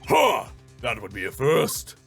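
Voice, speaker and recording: A man's voice: mid-range voice, wildly swooping pitch, measured pace, vocal fry, a bit bright, faint music behind, heavily acted. A man voicing an arrogant, condescending prosecutor in a video game, with a clipped, sneering delivery.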